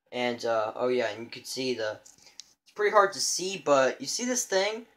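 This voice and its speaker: A man giving a casual show-and-tell as a vlogger, rambling and halting as he goes. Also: mid-range voice, fairly bright tone. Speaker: vlogger showing a radio collection. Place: indoors in a room at home.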